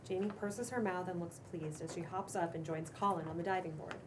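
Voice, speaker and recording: A woman reads stage directions as scripted narration at a table read. Her voice is a little low, and there is clear noise in the live room recording. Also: fairly even intonation, fairly quick.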